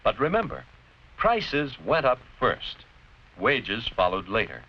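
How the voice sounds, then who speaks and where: An American man giving scripted educational narration in a deliberate, clearly explanatory, didactic manner. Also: mid-range voice, huge pitch swings. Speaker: narrator-presenter of an educational film. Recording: dull, noisy vintage educational film soundtrack.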